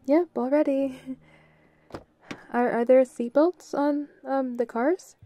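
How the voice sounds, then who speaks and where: A woman playing a bubbly, playful, affectionate young girlfriend in an intimate roleplay audio, giggly and given to quick repeated phrases. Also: high voice. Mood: nervous, reassuring. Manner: giggly, breaks into short excited repeats.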